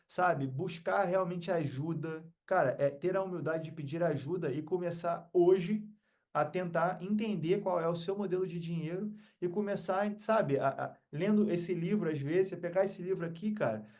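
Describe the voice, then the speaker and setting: A man's voice: high voice, a little mellow. A man with a Brazilian accent talking casually as a podcast guest, reflective, earnest and motivational in manner.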